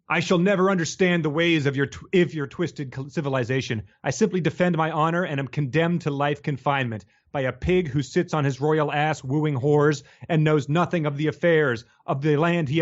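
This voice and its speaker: A man's voice: fairly high pitch, normal speed, muffled recording. A man co-hosting a casual book discussion, joking wryly as he comments. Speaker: podcast co-host.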